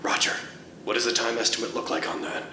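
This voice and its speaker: An actor playing a dutiful researcher at an underground anomaly site in an audio drama, speaking in a formal, wordy way with dry wit. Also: male voice, medium pitch, fast talker, sharp tone, a little hiss or hum. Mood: dry, businesslike. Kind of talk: audio drama, recorded log monologue.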